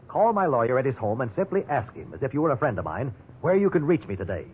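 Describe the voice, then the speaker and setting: A man's voice: mid-range voice, sing-song, fast talker, close to an everyday voice. An actor playing a wry, defiant man held as a suspect in a 1950s radio drama. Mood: pleading. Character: indignant, wrongly accused male prisoner, also narrating. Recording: tinny, noisy 1950s radio recording.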